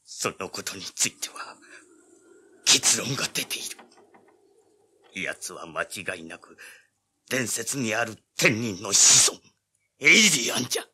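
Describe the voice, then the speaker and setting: An actor playing an old village man in an audio drama, recounting dreadful events gravely in old-fashioned speech. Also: lowish voice, takes it slowly, pauses often, sharp tone, heavily acted. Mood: ominous.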